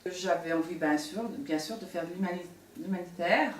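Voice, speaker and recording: A woman's voice: lowish voice, moderate pace, reverberant. Woman, sixties, interview testimonial, distant mic, faint noise. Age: 60s. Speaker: nursing assistant, volunteer.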